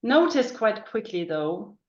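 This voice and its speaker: A woman's voice: mid-range voice, sing-song, takes it slowly, darkish tone. A middle-aged woman from northern Germany with a German accent, a guest in a casual interview, talking thoughtfully with many ums and restarts. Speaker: guest, business coach. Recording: echoey dull-sounding room.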